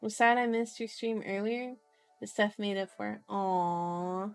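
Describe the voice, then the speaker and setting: Playful high-voiced woman reading aloud. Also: fairly even intonation, moderate pace, gravelly, clean tone, faint music behind. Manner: playful, silly asides and baby-talk. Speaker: streamer reading a book aloud. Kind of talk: ASMR read-aloud with casual chat.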